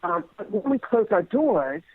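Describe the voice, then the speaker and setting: A man with a fairly high voice talks reflectively in an interview. He is hesitant, doubling back on words, and the sound is dull and dry. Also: very animated, unhurried, breathy edge, no echo. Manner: hesitant, repeats words while searching. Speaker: playwright interviewee.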